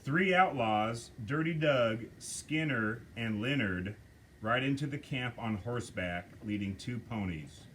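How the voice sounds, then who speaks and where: A man reading stage directions aloud at a script table reading, slow and measured. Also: mid-range voice, expressive, a bit bright, a little hiss or hum.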